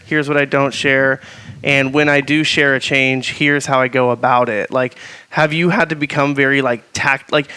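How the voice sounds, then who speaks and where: Bright, clear-voiced male podcast host. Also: medium pitch, no room sound. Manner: hesitant, rambling questions with restarts. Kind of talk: casual interview questions.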